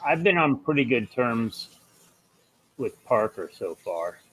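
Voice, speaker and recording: A man's voice: mid-range voice, very animated, slow pace, fairly bright tone, low-quality recording. A man chatting casually as a player planning moves in a tabletop role-playing game.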